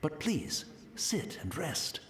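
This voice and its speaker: A man's voice: on the high side, big pitch swings, slow pace, fairly bright tone, echoey room, exaggerated voice. A man playing a humble, courteous tea master and loyal retainer in a video game, deferential and formal.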